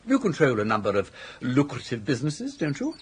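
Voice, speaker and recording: An actor playing a genial, scheming older upper-class English gentleman with an urbane, florid, self-assured manner in a radio comedy drama. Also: medium pitch, huge pitch swings, brisk, husky, full character voice.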